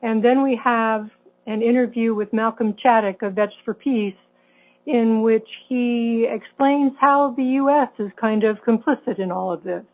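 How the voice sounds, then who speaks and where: A woman's voice: on the high side, unhurried. A woman hosting a public-access show, introducing clips in a plain conversational way. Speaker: show host. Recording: tinny phone-like line, faint noise.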